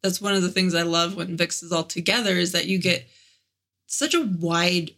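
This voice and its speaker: A woman's voice: lowish voice, normal speed, a bit bright. A woman co-hosting a podcast in casual chat, gushing with enthusiasm about the music. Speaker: podcast co-host.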